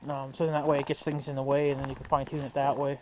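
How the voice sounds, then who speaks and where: A man's voice: mid-range voice, completely flat delivery, quick pace. A young man chatting casually to viewers as a vlog host, rambling and slangy as he thinks aloud. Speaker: hobbyist video host. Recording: muffled, noisy amateur recording.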